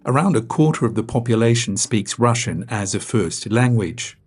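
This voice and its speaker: A male reporter giving steady, scripted news narration. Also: mid-range voice, normal speed, breathy edge.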